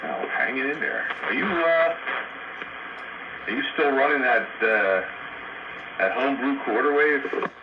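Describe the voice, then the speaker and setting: A man's voice: mid-range voice, big pitch swings, a little slow, long pauses. A man making casual check-in conversation as a hobbyist over two-way radio. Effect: heard over two-way radio. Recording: muffled, very noisy radio transmission.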